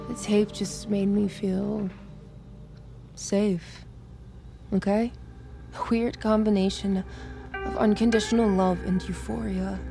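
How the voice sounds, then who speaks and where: A young female army recruit in an audio drama. She is defiant, foul-mouthed and mocking, with a blunt, snarky delivery in a middle register. Music plays clearly behind her. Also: medium pitch. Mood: defensive, raw. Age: young adult. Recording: music bed under the dialogue.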